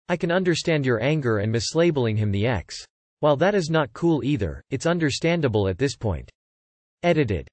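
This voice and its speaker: A man reading online posts aloud in an even, unhurried way, with little emphasis. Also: mid-range voice, no echo. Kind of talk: flat scripted reading.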